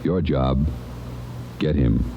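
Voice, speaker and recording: An American man performing as a grave, authoritative announcer in a 1940s radio police drama, solemn and deliberate. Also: very deep voice, steady tone, background noise. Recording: muffled old radio broadcast transfer.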